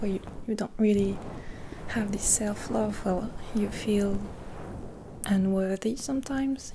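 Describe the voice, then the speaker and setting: A woman speaking in a gentle, half-whispered ASMR roleplay, rambling softly and reassuringly with pauses, close to the mic. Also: medium pitch, gravelly, noisy background. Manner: caring, reassuring. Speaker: ASMR creator. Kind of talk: soft-spoken ASMR roleplay.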